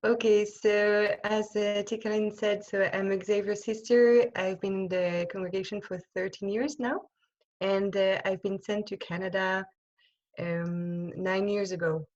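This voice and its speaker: French nun talking on a video call, halting, hunting for words, mid-pitched, dull muffled audio. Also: female voice, level tone, darkish tone. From France. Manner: hesitant, searching for words, many pauses and restarts. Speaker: religious sister giving a talk. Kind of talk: informal presentation to an online group. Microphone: computer microphone on a video call.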